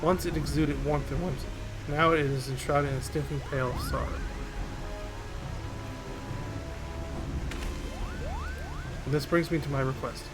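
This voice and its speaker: A man's voice: fairly high pitch, steady tone, a little fast, music in the background, natural voice, poor audio. Man reading as a small earnest moogle, dramatic and formal. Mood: solemn, pleading. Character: small earnest, grieving moogle companion creature.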